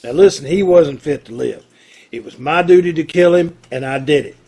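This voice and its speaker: A man's voice: a little high, normal speed, mellow, breathy edge. A man acting a stubborn, unrepentant condemned murderer in an old-time radio play, plain-spoken and stoic. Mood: firm, unrepentant. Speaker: amateur radio-troupe actor.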